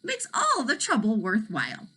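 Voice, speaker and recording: A woman's voice: fairly high pitch, huge pitch swings, moderate pace, fairly bright tone, breathy edge, pitched above the performer's own voice, full character voice. A woman voicing a curious, eager young boy in a storybook.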